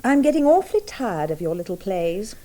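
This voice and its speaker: A woman's voice: mid-range voice, big pitch swings, fairly quick, warm tone, lightly acted. An actress playing an impatient, arch English mother in a radio play, with a brittle and dismissive manner. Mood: exasperated. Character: brittle, impatient upper-class English mother, teasing then bitter.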